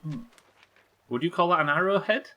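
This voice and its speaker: A man's voice: high-pitched, lively intonation, moderate pace, muffled recording. A man doing casual livestream game commentary, thinking aloud, questioning himself and swearing now and then. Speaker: live game streamer.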